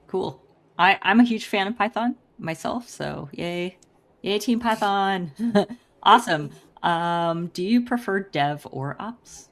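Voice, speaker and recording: A woman's voice: mid-range voice, lively intonation, slow. A woman hosting a podcast interview in a bubbly, upbeat way, laughing often. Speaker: podcast host.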